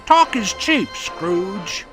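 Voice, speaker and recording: A mocking, jolly male party speaker from a cartoon comic dub, acted by a man. He teases in slow, showy speeches with a high pitch, over clear music. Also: big pitch swings, heavily acted. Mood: taunting. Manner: speechifying, teasing. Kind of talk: comic book fan dub.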